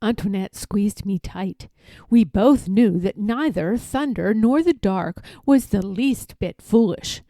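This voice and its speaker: A woman reading a children's picture book aloud as an expressive, deliberate storyteller. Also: medium pitch, dry recording.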